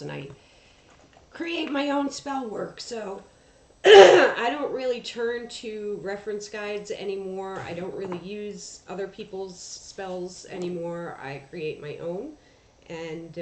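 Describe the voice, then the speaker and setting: A woman chatting casually on a livestream, congested from allergies, rambling with many ums and throat clears, recorded through a headphone mic across the room at home. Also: lowish voice. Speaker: livestream host. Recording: echoey room, faint background noise.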